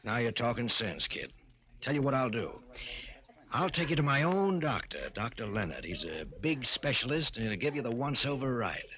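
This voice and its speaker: A man's voice: mid-range voice, big pitch swings, normal speed, bright, forceful tone. An actor playing a slick, persuasive American gangster boss in an old-time radio crime drama, using slangy, cajoling tough-guy talk. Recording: muffled vintage radio recording, organ music.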